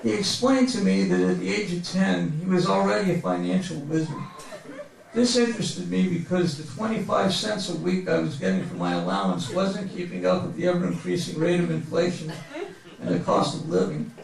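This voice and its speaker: Male author at a live reading, gravelly edge, comic mock-grandiose storytelling, recorded far from the mic in a reverberant space. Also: sits high, lively intonation, fairly quick. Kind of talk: humorous memoir reading to a live audience. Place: bookstore reading event before an audience. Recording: very echoey room, distant mic.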